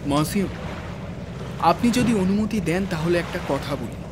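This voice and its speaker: An actor playing a polite, loyal and skeptical manservant in an audio drama, speaking formally and deferentially. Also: male voice, sits high, animated, unhurried. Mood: polite, composed. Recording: loud sea-like noise behind the voice.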